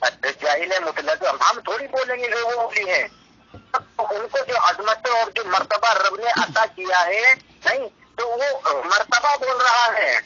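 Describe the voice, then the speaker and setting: A man's voice: high-pitched, unhurried, pushed and bright. A man arguing about religion on a recorded phone call in a combative, scolding way. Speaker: debater in a phone argument. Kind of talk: religious debate over the phone. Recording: noisy, dull phone call recording with clicks.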